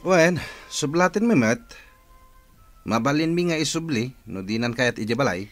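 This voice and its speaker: A man's voice: mid-range voice, expressive, moderate pace, faint music behind, lightly acted. A man playing a blunt, emphatic elder brother in a family radio drama. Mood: questioning.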